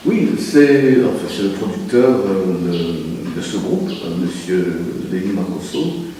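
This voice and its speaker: A man's voice: sits low, expressive, slow pace, warm tone, slight background noise. Hesitant man, far off in an echoey room. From Republic of the Congo. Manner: hesitant, many fillers and restarts. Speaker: music producer and arranger. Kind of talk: TV interview answers.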